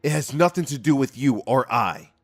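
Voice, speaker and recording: A man playing a hot-headed, impulsive fairy guardian in a fan audio drama, arguing forcefully and emphatically. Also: mid-range voice, expressive, moderate pace. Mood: heated, pleading.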